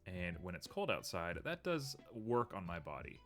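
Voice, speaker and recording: Low-voiced sniffly man streaming. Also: big pitch swings, normal speed, faint music behind. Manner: thinks aloud, frequent ums, muttering at the software. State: a little sniffly. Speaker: streamer building a 3D model. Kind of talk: casual live-stream commentary while working.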